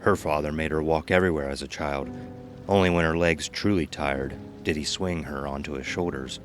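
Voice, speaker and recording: Very deep-voiced man narrating horror. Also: steady tone, moderate pace. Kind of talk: scripted horror story narration. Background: music.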